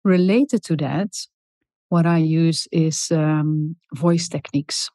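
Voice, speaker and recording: A middle-aged woman speaking in a calm, soft, deliberate way as a podcast guest, with many small pauses and ums. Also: deep voice, no room sound, clean studio sound. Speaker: guest expert on leadership. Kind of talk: podcast interview.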